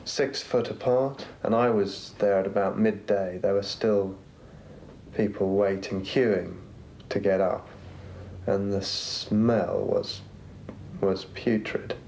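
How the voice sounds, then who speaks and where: A man with a low, gravelly voice speaks slowly and haltingly, as if in a reflective documentary interview. There is faint noise behind him. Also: dark tone, low-quality recording. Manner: halting, pausing mid-phrase.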